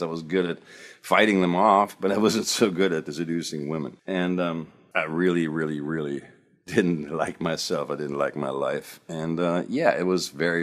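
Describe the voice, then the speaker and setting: Man, 50s, slight German accent, extremely low voice, earnest self-help talker. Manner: reflective, earnest storytelling. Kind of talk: personal monologue to camera.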